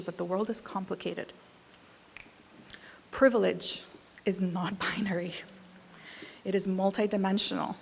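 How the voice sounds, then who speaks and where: A woman's voice: mid-range voice, vocal fry. A woman from Costa Rica with an American accent, in her thirties, giving a candid, conversational speech at an awards event, with some emphatic punches. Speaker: award speaker. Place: large hall. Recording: reverberant hall.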